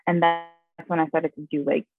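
A young woman talks through her acting training in an interview, lively and laughing often. Her voice sits low and gravelly, and it comes over a dry, tinny phone line. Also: fairly quick, dry recording, soft background music. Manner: animated storyteller, laughs often, says "like" a lot. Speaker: guest actress. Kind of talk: casual interview chat.

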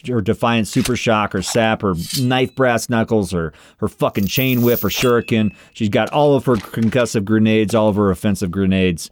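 A man's voice: on the low side, normal speed, hardly any pauses, a little hiss or hum. A man playing a tabletop game, casually improvising narration of his character's actions in the third person.

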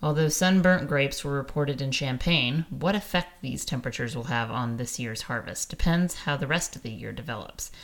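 A woman's voice: very deep voice, moderate pace, few pauses. A woman co-hosting a wine show, reading out news items and asking her co-host questions in a lightly teasing way. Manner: newsy, inquisitive, lightly teasing. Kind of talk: conversational wine news presenting.

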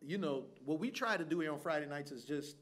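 A middle-aged man hosting an on-stage church interview, energetic and preacherly, calling for amens. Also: a little high, fairly quick. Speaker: church event host.

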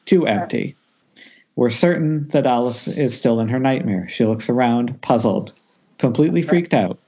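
A man narrates screenplay directions in a mellow, somewhat raspy mid-range voice, occasionally tripping on words. It sounds dull, as if over an internet call. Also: medium pitch, lively intonation, moderate pace. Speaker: narrator reading stage directions. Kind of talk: screenplay table read. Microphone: Skype call.

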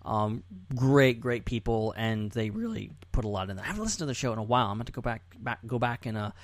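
A man's voice: medium pitch, fast talker, no echo. A man chatting casually and animatedly as a podcast host.

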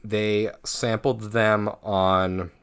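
Male podcast co-host, low voice, informal and self-deprecating, loose conversational delivery. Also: level tone, very slow, a bit bright, clear, resonant tone. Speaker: co-host of a horror attraction fan podcast. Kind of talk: casual chat.

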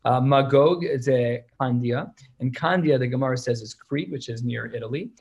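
A man's voice: medium pitch, normal speed, vocal fry, darkish tone, slightly rough, dull audio. A man teaching a class on a religious text, reading passages aloud and explaining them in casual English. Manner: reads Hebrew and Aramaic, then explains it in plain English. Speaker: Talmud teacher.

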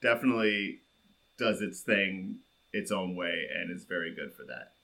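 A man's voice: sits low, expressive, moderate pace, gravelly, bright, forceful tone. A man in casual podcast banter with a slangy way of talking.

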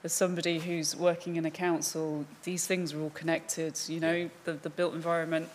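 A woman's voice: deep voice, flat delivery, a little hiss or hum. Earnest woman council panelist. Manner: earnest, conversational, measured. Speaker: panelist working in a local council. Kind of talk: conference panel discussion.

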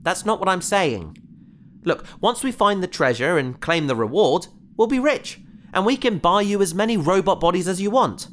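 An actor playing an earnest, excitable researcher on a spaceship crew in a sci-fi audio drama, with a gentle, bookish manner. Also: medium pitch, moderate pace, a little hiss or hum, natural voice. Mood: gentle, pleading.

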